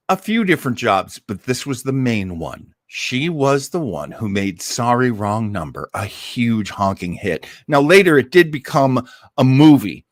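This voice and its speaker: Male host, very lively intonation, dry close sound, effusive and emphatic fan talk, casual introduction. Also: medium pitch, no echo. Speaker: host of a radio drama listening party. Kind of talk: casual enthusiastic introduction to old radio shows.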